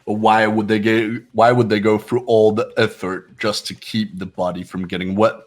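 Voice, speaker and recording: A man playing an aloof, shrewd young skeptic in fan-made visual novel game dialogue, measured and questioning. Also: lowish voice, little pitch movement, natural voice. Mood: skeptical interest. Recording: music behind the voice.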